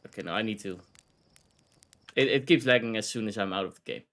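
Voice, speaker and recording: A man's voice: on the low side, brisk, fairly bright tone. A man from the Netherlands with a Dutch accent, chatting casually and rambling while streaming a video game, laughing often.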